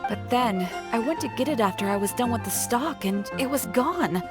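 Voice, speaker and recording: A woman's voice: a little high, animated, normal speed, over music, close to an everyday voice. A woman voicing a friendly, practical adult shopkeeper in a video game, explaining things in a conversational way. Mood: worried, puzzled.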